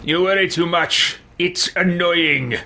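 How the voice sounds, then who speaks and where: A man's voice: sits high, slow pace, pushed and bright, pitched above the performer's own voice, full character voice. Man putting on a haughty, piercing old wizard. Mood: annoyed, dismissive. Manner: theatrical, pompous, curt. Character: haughty, senile, power-hungry old wizard, dismissive and grouchy. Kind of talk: in-character roleplay over a video game.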